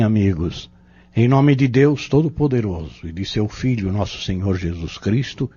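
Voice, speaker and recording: A man's voice: fairly low pitch, dark tone, husky, full character voice. An actor playing a solemn, devout man giving a formal speech to a gathering in a radio drama. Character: solemn, devout male orator addressing a gathering. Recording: dull lo-fi radio drama, music behind.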